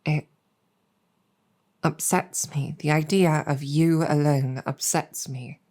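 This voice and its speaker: Slow, low-voiced demoness general, played by a woman. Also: steady tone, dark tone. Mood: earnest, upset. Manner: deliberate, halting, earnest, with long pauses. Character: earnest, protective female succubus demon general. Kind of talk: intimate improvised roleplay storytelling, ASMR-adjacent.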